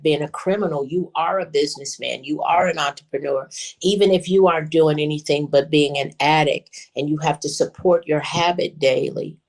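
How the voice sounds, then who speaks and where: Interview guest, man, heavy vocal fry, emphatic and repetitive delivery. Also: on the high side, a little mellow. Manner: emphatic, repeating points. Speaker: guest, activist and nonprofit director. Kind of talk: interview talk.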